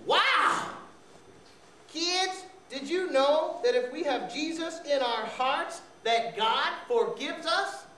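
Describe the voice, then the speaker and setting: Woman as a children's church puppet, cheery, exclamatory, slow, distant roomy recording with some noise. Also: sits high, a bit bright. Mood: earnest, cheerful. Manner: exclamatory, addresses children directly. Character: cheery puppet character teaching children about faith. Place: room at a live puppet show.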